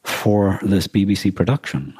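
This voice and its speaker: Deep, husky man thinking aloud. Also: a little mellow, no room sound. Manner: halting, thinking aloud, explanatory. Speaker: podcast co-host. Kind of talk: casual discussion of a radio drama.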